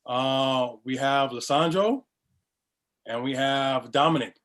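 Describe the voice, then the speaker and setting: A man opens an online session as host, speaking casually with plenty of ums. His voice stays mid-pitched and easygoing. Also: takes it slowly. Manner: relaxed, upbeat, many fillers. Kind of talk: casual welcome and introductions.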